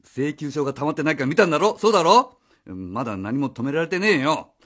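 Man acting a flustered husky dad, swinging pitch. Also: expressive, brisk, a bit bright. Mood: flustered, evasive. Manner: stammering, blustering, casual speech. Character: flustered, evasive, cheeky father, a taxi driver. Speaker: voice actor. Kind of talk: radio drama acting.

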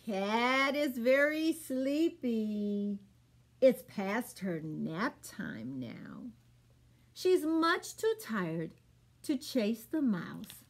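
High-voiced woman reading to children. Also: expressive, very slow. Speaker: children's storytime host at a public library. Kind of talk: read-aloud storytime for young children. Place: small plain room, indoors.

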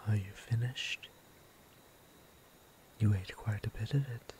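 A man voicing a gentle, courtly vampire priest in an ASMR roleplay, breathy and half-whispered, with formal, tender, old-fashioned phrasing. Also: on the low side, steady tone, slow. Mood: caring, soothing. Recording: clear background noise and ambience.

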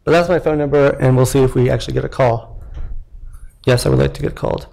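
A man's voice: medium pitch, fairly even intonation, fast, creaky, a little mellow. A young man, a startup founder, giving a casual live product demo from a podium in a lecture hall, with lots of fillers and restarts. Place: lecture hall at a tech meetup. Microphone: podium mic.

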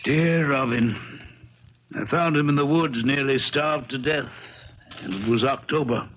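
An actor playing a kindly, wistful old recluse in a 1970s radio drama, speaking in a halting, sentimental manner. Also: male voice, medium pitch, close to an everyday voice. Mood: defensive, tender. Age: older. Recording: dull, crackly 1970s radio recording.

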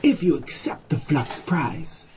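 A man reading a slow, solemn, reflective story narration in measured, old-fashioned language. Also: on the high side, expressive, a little mellow, slight background noise. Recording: music bed under the voice.

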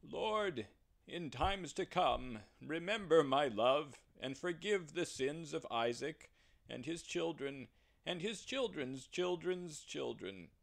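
A man's voice: a little high, lively intonation, slow, creaky, voice pushed up, exaggerated voice. A man voicing an anguished, devoted older father pleading with God. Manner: pleading, raised and emotional.

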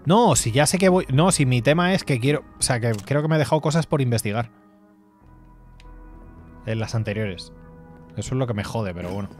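A man's voice: mid-range voice, animated, a little fast, long pauses. Chatty Spanish man streaming a game. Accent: Spanish from Spain. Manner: chatty, rambling, swears casually. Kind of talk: casual gameplay commentary. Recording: faint game music behind.

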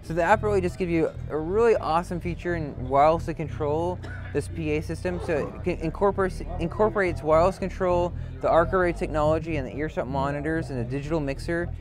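Male product demonstrator, slightly high voice, off-the-cuff walkthrough delivery, recorded with prominent music behind. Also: fast. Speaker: product demonstrator for an audio brand. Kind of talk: unscripted product demo. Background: loud music.

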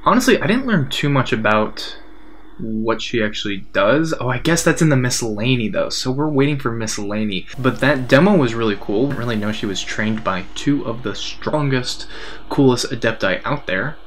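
A man's voice: medium pitch, lively intonation, moderate pace, a bit bright, a little hiss or hum. Young man reacting casually. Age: young adult. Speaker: reaction video host. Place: small room indoors.

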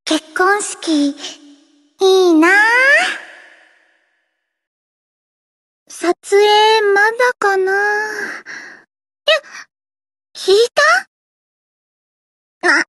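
A woman voicing a teenage gothic idol girl in a Japanese game, grandiose and sweetly shy, switching between pompous declarations and soft plain talk. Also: very high-pitched, very slow, long pauses, no room sound, studio quality, exaggerated voice. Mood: shy, wistful.

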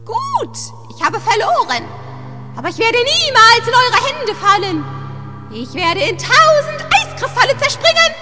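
A woman acting a wrathful, gloating evil sorceress queen in a children's radio play, pompous and menacing, with drawn-out delivery. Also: extremely high pitch, very animated, full character voice. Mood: defiant. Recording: long hall reverb under loud music.

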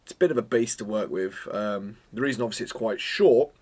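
A man, chatting casually to camera as a photography vlogger, self-mocking and full of jokey asides, a little hoarse from a cold. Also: fairly low pitch, fairly quick, a little mellow. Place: home studio room, indoors.

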